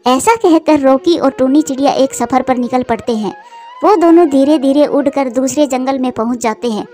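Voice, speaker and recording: High-pitched woman narrating a children's story. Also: moderate pace, warm tone, faint music behind.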